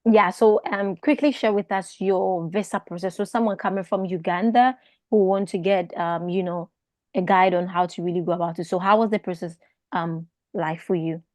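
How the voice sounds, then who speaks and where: A woman's voice: fairly low pitch, steady tone, moderate pace, darkish tone, no echo, studio quality. A woman hosting a live Q&A, putting casual interview questions to a guest. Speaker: host of a live Q&A.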